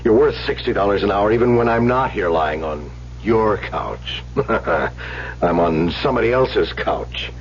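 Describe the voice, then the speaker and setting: A man's voice: fairly low pitch, animated, unhurried. An actor playing a troubled, sardonic man near sixty, a prickly therapy patient in an old-time radio drama. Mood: wry, confessing. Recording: muffled, hissy old radio broadcast recording.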